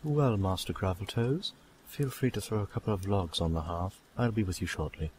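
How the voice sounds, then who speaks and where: A man's voice: fairly low pitch, normal speed, a little mellow, slight background noise, close to an everyday voice. A man playing a polite, slightly bemused tavern keeper in a fantasy audio drama, businesslike and a little hesitant.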